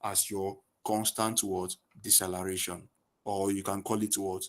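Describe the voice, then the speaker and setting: Guy teaching physics on a video call, lowish voice, headset mic, keeps asking and answering his own questions. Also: level tone, measured pace. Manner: asks leading questions and fills in the answers. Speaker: physics tutor. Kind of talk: online lesson.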